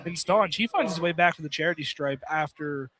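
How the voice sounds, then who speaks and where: Male play-by-play announcer for live college basketball, very high-pitched voice over a clearly noisy gym broadcast. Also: brisk. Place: college field house during a game.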